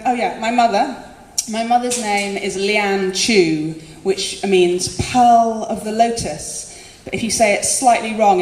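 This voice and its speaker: A woman performing spoken word live, chatty and self-deprecating, often laughing between lines. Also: mid-range voice. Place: live concert venue. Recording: echoey hall with faint room noise.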